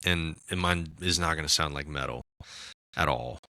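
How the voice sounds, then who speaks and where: A raspy-voiced man in casual podcast chat, talking about his music with lots of fillers and restarts. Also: a bass voice, moderate pace, pushed and bright, no room sound. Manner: rambling, many fillers and restarts. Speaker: musician guest.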